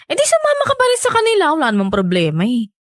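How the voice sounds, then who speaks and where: An actress playing a lovestruck young woman in a radio romance drama, playful and defensive, with teasing, expressive delivery. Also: very high-pitched, racing, dry recording, studio quality, heavily acted. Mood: breezy.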